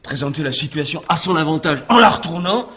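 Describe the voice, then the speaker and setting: An actor playing an earnest, resentful younger brother in a French radio crime drama, speaking in a husky male voice. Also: fairly high pitch, lively intonation, brisk, a bit bright. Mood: indignant.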